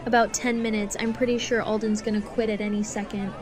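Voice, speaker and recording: A woman's voice: medium pitch, little pitch movement, fast, a crowd in the background, lightly acted. A woman acting a teasing young woman in an audio drama. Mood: amused.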